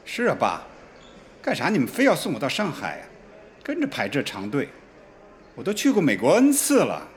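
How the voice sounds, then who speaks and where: An actor playing a young male student in a radio drama, an exasperated but good-natured son with an expressive, teasing delivery. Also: a little high, moderate pace, pauses often, slight background noise. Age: young adult. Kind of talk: Chinese radio drama dialogue.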